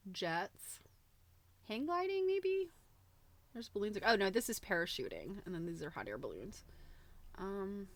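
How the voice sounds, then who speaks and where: Woman, mid-pitched, very varied intonation, informal livestream chat, halting, thinking aloud. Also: fairly bright tone, dry recording. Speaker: host of a live quilting book show. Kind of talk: casual livestream chat, commenting while browsing a book.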